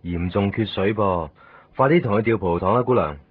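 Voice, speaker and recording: A man playing a calm, matter-of-fact hospital doctor in a Hong Kong Cantonese radio drama from the 1990s. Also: on the low side, brisk, breathy edge. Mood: calm, brisk. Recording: muffled, phone-like 1990s radio recording.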